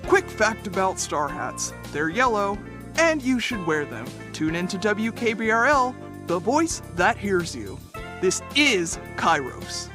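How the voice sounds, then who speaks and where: A perky female radio presenter in a station promo. Her medium-pitched voice is very animated, with music playing behind it. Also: a little slow.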